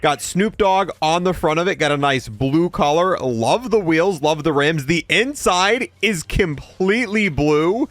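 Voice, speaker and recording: This is a man doing casual gaming commentary. His voice sits a little high and moves around in a lively way as he walks viewers through a showcase. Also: a little slow, hardly any pauses, no echo. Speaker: gaming video creator.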